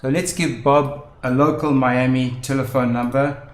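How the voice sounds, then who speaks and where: A man narrating a step-by-step software demonstration in measured, explanatory phrases. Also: mid-range voice, steady tone. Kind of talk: step-by-step instructional narration of a screen demo. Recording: roomy, echoing voice-over.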